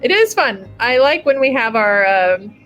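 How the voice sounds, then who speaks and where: A woman's voice: fairly high pitch, very animated, unhurried, fairly bright tone, faint music behind. A woman hosting a webinar in casual chat over a video call, bubbly and laughing often. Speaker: webinar host.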